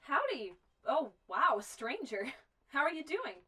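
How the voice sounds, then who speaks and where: A woman's voice: high-pitched, very animated, a little slow, heavy background noise. An actress playing a friendly, talkative young woman who keeps a remote frontier store, with a folksy manner. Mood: friendly, chatty. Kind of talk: amateur western film acting.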